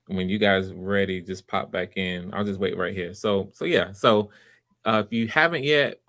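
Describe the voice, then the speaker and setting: A man with a lowish voice hosts a live stream. He talks casually and haltingly, often doubling back on words as he works out what to say. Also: gravelly, a bit bright. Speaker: host running a live voice audition. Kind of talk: casual livestream hosting.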